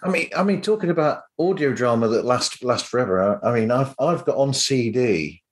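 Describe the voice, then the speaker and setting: Male podcast host, mid-pitched voice, casual, rambling, anecdotal conversation. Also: lively intonation, fairly quick.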